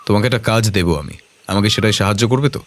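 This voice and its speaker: Guy acting as a confident detective, lowish voice, measured, kindly but in charge, audio drama. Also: slight background noise, close to an everyday voice. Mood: kindly, commanding. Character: calm, self-assured male detective, kindly but commanding.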